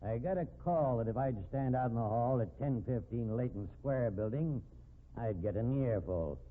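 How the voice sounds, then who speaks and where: A man's voice: medium pitch, normal speed, natural voice. Folksy cop inspector male actor, wry and easygoing, old radio show w/ faint noise. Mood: wry, amused. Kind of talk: 1950s radio drama acting. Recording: narrow, faintly noisy old radio recording.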